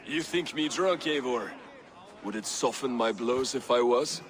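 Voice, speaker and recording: A man's voice: mid-range voice, unhurried, lightly acted. A man playing a blunt, jealous Viking warrior in a video game, confrontational and challenging in his speech. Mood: bitter, challenging.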